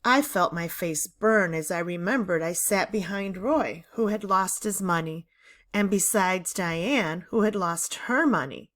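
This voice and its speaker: A woman reading a children's book aloud in a deliberate, animated storytelling manner. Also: fairly low pitch. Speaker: read-aloud narrator.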